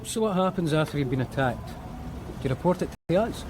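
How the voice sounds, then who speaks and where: A persistent police detective. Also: female voice, low voice, lively intonation, brisk. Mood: probing. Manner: probing, persistent. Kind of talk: radio drama acting. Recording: radio drama with street noise.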